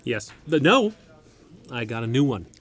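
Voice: medium pitch, very animated, measured pace, soft background music. An actor in an audio comedy-drama playing an earnest, quirky, chatty neighbor who rambles naively and startles easily. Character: earnest, quirky, chatty neighbor accompanying an injured older woman.

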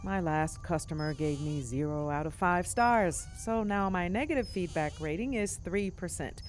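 An actress playing an anxious, sardonic gig worker in a naturalistic audio drama, with a hesitant, wry, expressive way of talking. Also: female voice, on the low side, moderate pace, a little hiss or hum, natural voice. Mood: rattled, wry. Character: anxious, sardonic gig-worker woman, freshly suspended from her job.

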